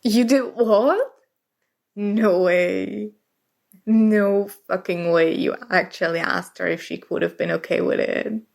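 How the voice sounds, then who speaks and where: A woman's voice: mid-range voice, slow pace, gravelly, darkish tone. A woman acting as a nerdy, flustered college student in an intimate ASMR roleplay, speaking hesitantly and emotionally with stammers. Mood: shocked, flustered. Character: nerdy, flustered young female college student confessing a crush.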